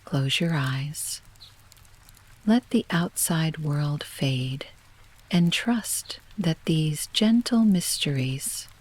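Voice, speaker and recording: A woman narrating a guided sleep meditation in a soft, breathy near-whisper, gentle, hushed and unhurried, like a soothing scripted bedtime story. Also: low-pitched.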